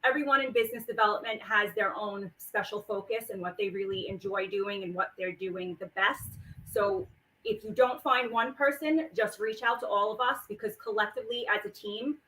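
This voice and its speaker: A woman guest who speaks steadily and reassuringly, offering her team's help. Her tone is bright and crisp. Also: mid-range voice, a little fast, a little hiss or hum, poor audio. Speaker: event planning guest. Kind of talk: guest remarks on a live stream.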